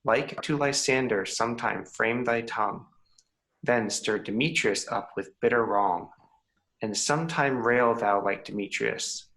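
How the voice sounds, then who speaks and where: A man playing a commanding, scheming fairy king, reading Shakespearean verse in a measured, declamatory way. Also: medium pitch, a bit bright, rough recording. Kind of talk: Shakespeare table read in verse.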